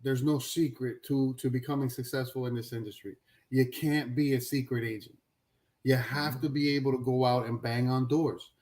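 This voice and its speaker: A man hosting a live stream with energetic, hype-style shout-outs, bringing guests in and out. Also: mid-range voice, normal speed. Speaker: host.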